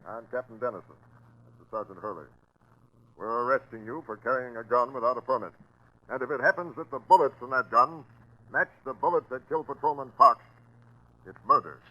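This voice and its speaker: An actor playing a methodical, commanding police captain, giving clipped orders in 1940s radio crime drama style. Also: male voice, medium pitch, a little fast, pauses often, bright, forceful tone. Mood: stern. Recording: noisy 1940s radio broadcast recording.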